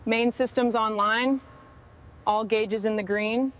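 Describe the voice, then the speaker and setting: A woman's voice: a little high, fairly even intonation, slow pace. A woman from a restoration crew talking in short, terse workshop remarks.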